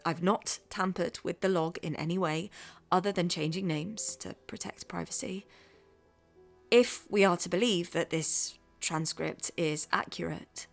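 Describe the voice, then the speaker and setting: Hushed low-voiced woman narrating. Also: gravelly. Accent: English. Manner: hushed, conspiratorial storytelling. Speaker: podcast host and narrator. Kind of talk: scripted narration.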